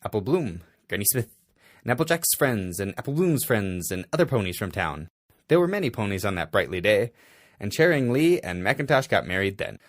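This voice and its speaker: A man's voice: on the low side, dry recording, studio quality. A man reading a story aloud in an exaggerated, mock-serious dramatic way. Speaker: amateur fanfiction reader.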